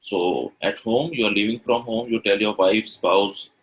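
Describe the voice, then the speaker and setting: A middle-aged man whose first language is Gujarati teaches English in an online webinar, with an Indian English accent. His voice sits a little low, and it comes through a video call microphone. He repeats his points and keeps putting rhetorical questions to his learners. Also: unhurried, muffled recording. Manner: repetitive, asks the audience rhetorical questions. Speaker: English-speaking trainer and webinar host.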